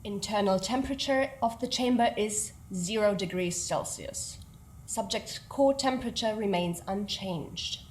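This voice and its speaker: An actress playing a stern, clinical scientist in an audio drama, formal, measured and dryly exasperated. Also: female voice, medium pitch, slight background noise, natural voice, low-quality recording. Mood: curt, exasperated.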